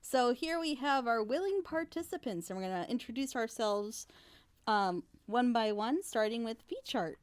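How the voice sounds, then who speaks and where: A woman's voice: fairly high pitch, expressive, moderate pace. A woman hosting a casual group reaction with an informal, easygoing way of talking. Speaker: host, series director, voice actor.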